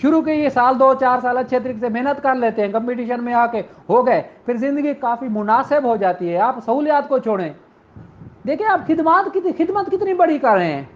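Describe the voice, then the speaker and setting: A man giving a slow, deliberate classroom law lecture, addressing his exam students in a fatherly way. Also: extremely high pitch, expressive. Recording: muffled lo-fi recording, faint noise.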